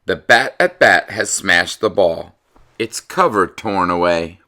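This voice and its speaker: Male children's storyteller, low voice, very lively intonation, dramatic and expressive. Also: slow. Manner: animated, dramatic delivery. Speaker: read-aloud storyteller. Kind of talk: expressive children's storybook read-aloud with casual commentary.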